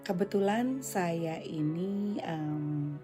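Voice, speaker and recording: A woman's voice: lowish voice, lively intonation, very slow, over music. A woman hosting a casual solo podcast, chatting conversationally and mixing in English phrases. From Indonesia. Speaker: podcast and vlog host.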